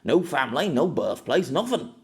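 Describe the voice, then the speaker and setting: Storybook detective, performed by a man: older, Northern English accent, dry, respectful, lively pitch. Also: normal speed. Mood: puzzled. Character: older scruffy male detective sidekick, dry and deferential.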